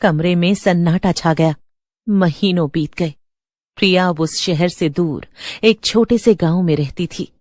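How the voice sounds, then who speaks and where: A woman's voice: lowish voice, measured pace, darkish tone, no room sound, studio quality. A woman narrator reading a scripted horror story in a dramatic storytelling style.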